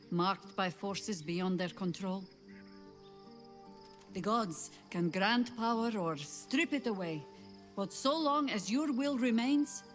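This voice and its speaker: A woman's voice: medium pitch, natural voice. Brief: actress as a seasoned woman warrior, grave counsel, long echoing reverb, game music behind. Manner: solemn, measured counsel. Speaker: game character.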